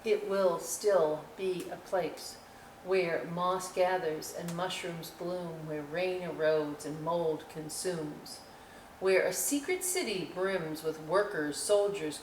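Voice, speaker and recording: A woman hosting a children's storytime, reading aloud and explaining crafts with folksy, chatty, expressive asides to young listeners. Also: on the low side. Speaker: library storytime host. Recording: roomy echo, distant mic, faint noise.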